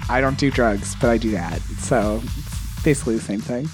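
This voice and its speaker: A woman's voice: rumbling low, normal speed, music in the background. A young American woman co-hosting a podcast, giggly and enthusiastic, with rambling run-on chat. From United States. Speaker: podcast co-host.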